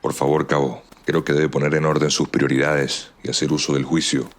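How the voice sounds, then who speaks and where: A man playing a level-headed army sergeant in an amateur audio drama, calm, stern and authoritative. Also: very deep voice, moderate pace.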